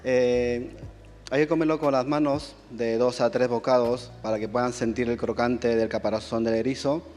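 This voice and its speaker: A man with a Peruvian accent, a chef answering questions at a cooking contest in a soft, halting way with many fillers. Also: mid-range voice, fairly even intonation, long reverb tail. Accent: Peruvian Spanish. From Peru. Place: auditorium stage.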